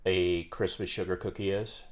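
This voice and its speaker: A man co-hosting a podcast, speaking in a slow, dry-humored way with many "um"s. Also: low-pitched, little pitch movement. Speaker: podcast co-host. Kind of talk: casual chat.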